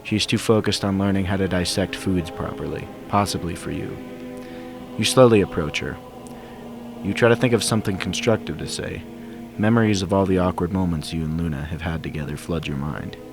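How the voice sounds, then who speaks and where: A man's voice: low-pitched, a little fast, vocal fry. A man reading a scripted fan fiction story as its narrator. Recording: soundtrack music behind the voice. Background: game soundtrack music.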